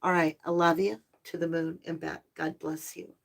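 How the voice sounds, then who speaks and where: A woman hosting a live tarot stream, talking softly and affectionately to her viewers, her voice slightly hoarse and tired. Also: low-pitched, little pitch movement. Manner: soft, slow, spiritual and affectionate, addressing viewers as lovelies. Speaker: tarot reader and channel host.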